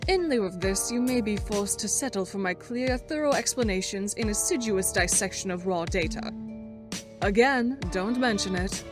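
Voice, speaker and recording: A wry, bookish girl played by a woman with a medium-pitched voice. She delivers a verbose fandub monologue, deadpan and articulate, with faint music behind her. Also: moderate pace, close to an everyday voice. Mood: dry, sardonic. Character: dry, erudite, sarcastic girl writing a game walkthrough.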